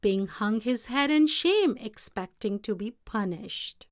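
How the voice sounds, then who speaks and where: A woman reading a picture book aloud in an expressive, deliberate storytelling manner. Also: a little high, dry recording, clean studio sound.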